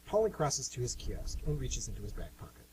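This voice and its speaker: A man's voice: medium pitch, big pitch swings, brisk. A man hosting and narrating a scripted amateur radio play. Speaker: host and narrator. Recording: amateur radio with clear hum noise.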